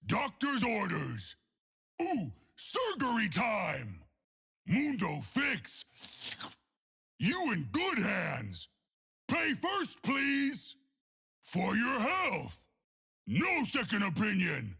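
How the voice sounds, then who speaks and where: An actor voicing a huge, dim-witted monster doctor in a video game, rough and booming, cheerfully menacing with broken childlike grammar. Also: male voice, wildly swooping pitch, very slow, long pauses, sharp tone, raised voice, heavily acted. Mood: gleeful, menacing. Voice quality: gravelly, rough. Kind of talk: exaggerated video game character voice lines.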